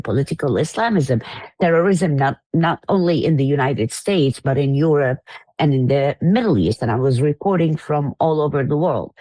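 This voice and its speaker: Earnest, halting woman from Iraq. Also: low voice, mellow, no echo. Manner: earnest, halting with many fillers. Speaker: guest. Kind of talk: interview storytelling.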